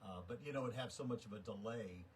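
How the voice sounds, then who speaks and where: A man comes through a noisy shortwave radio link. He chats in a folksy, rambling manner, like a relaxed hobbyist operator passing the time on air. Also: lowish voice, fast. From United States, Texas. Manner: folksy, rambling, chuckling asides. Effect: radio transmission. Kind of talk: casual rag-chew radio chat.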